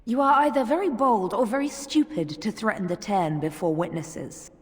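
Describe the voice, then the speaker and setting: 배우가 연기하는 홀에 울리는 매섭고 오만한 여성 장교. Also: female voice, mid-range voice, expressive, normal speed, natural voice. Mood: threatening. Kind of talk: dramatic fantasy game cutscene acting. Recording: long reverberant hall echo.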